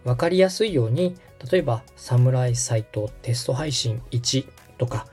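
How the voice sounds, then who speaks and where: Man, mellow tone, animated pitch, patient tutorial delivery, polite, background music. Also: unhurried. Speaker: host and radio director guiding a setup. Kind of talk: conversational step-by-step tutorial.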